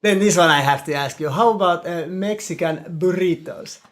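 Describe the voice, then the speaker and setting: A man's voice: high-pitched, normal speed, fairly bright tone. Man asking short interview questions. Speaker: interviewer, host.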